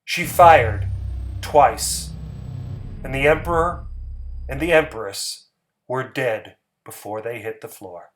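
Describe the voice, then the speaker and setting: Slow, theatrical male story narrator. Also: medium pitch, fairly bright tone, slight background noise, poor audio. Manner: theatrical, measured, emphatic. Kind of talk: dramatic scripted story reading. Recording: music bed under the voice.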